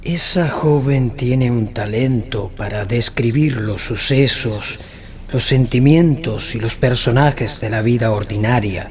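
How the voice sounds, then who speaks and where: An actor playing a reflective, humble Georgian gentleman novelist full of admiration in a radio drama. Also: medium pitch, natural voice.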